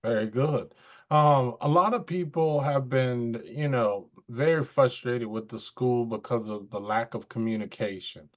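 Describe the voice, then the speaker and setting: A man interviewing a candidate in a relaxed, conversational way. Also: medium pitch, a little slow. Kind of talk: casual interview questions. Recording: dull lo-fi sound.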